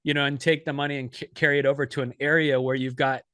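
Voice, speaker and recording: A man's voice: medium pitch, little pitch movement, quick pace, bright, forceful tone, clear, resonant tone, no echo, clean studio sound. Rambling, filler-heavy male podcast host. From United States. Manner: rambling, many fillers and restarts. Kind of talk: casual podcast conversation.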